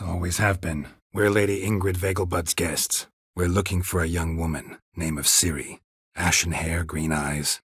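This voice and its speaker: Weary video game monster hunter: American, very husky, low, terse and deadpan. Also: male voice, dry recording, clean studio sound, full character voice. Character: gruff, weary, sardonic male monster hunter.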